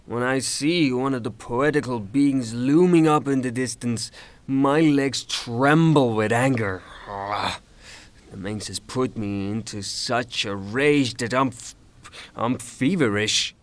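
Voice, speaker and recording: Guy playing a angry blustering creditor in a radio play, medium pitch, slow dramatic ranting, hates women. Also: dry recording, lightly acted. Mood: scornful, indignant. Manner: theatrical, ranting, exasperated. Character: angry blustering male creditor, hot-tempered woman-hater. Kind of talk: theatrical audio drama monologue.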